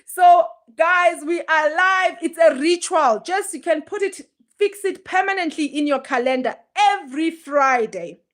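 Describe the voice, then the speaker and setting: A woman's voice: extremely high pitch, a little slow. A woman, hosting a casual livestream and talking straight to her viewers in an emphatic, chatty way. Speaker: livestream host.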